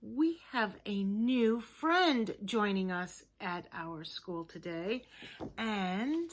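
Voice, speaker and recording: A woman teaching young children in a lesson to camera, speaking simply and encouragingly with deliberate, emphatic phrasing. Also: medium pitch, lively intonation, slight background noise.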